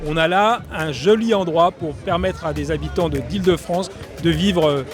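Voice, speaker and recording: A man's voice: a little high, normal speed. A man speaking as a local official in promotional interview answers. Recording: music behind the voice. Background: background music.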